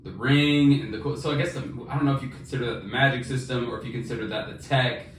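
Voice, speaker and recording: A man's voice: medium pitch, fairly even intonation, fast. A man co-hosting a podcast in casual, slangy, enthusiastic banter about books with a friend. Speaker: podcast co-host. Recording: echoey room, distant mic, faint noise.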